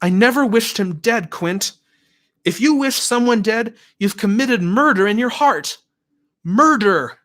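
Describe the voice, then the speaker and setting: A man voicing an indignant, religious teenage girl with a high, accusing voice. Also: measured pace, fairly bright tone. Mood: anguished.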